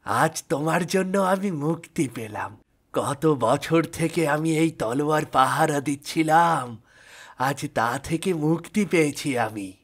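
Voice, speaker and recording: A man voicing a mysterious dwarf guardian in a fairy-tale cartoon, measured and deliberate. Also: on the high side. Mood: relieved, approving. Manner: measured, deliberate riddle-posing. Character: mysterious male dwarf guarding a magic sword, testing riddler.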